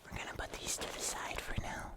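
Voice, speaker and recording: A fully whispered, breathy voice speaks close to the microphone in an ASMR style. The delivery is soft and unhurried, with gentle, casual asides as in a relaxed tasting video.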